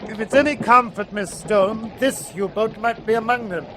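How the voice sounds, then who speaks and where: An actor playing a stern, sardonic German U-boat captain in a wartime radio drama, commanding, clipped and dryly sarcastic. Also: male voice, high voice, moderate pace, background noise, natural voice. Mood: puzzled, grave.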